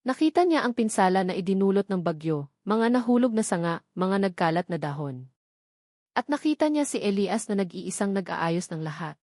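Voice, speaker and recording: A woman reading a scripted dramatic story in measured, deliberate short phrases. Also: medium pitch, sing-song, darkish tone, no echo, studio quality. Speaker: audiobook narrator.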